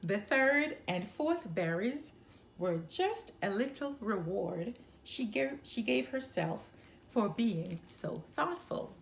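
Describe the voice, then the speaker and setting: Slow, very expressive woman storyteller. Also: a little high, vocal fry, slight background noise. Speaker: storyteller and channel host. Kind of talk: expressive children's story narration.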